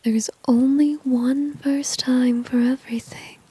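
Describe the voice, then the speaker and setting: Breathy whispering flirty villainess played by a woman. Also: high voice, steady tone, mellow, dry recording, full character voice. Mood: smug, seductive. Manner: slow, coy, mocking, drawn-out teasing. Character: flirty, dominant female villain, teasing and condescending. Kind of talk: whispered ASMR roleplay.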